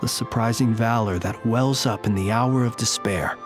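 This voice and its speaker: A man's voice: medium pitch, music in the background, lightly acted. A man voicing a solemn, courtly lantern-bearer. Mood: reflective. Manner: formal, measured, poetic. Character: courtly male lantern-bearer who lays ghosts to rest, solemn and formal. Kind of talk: video game voice acting.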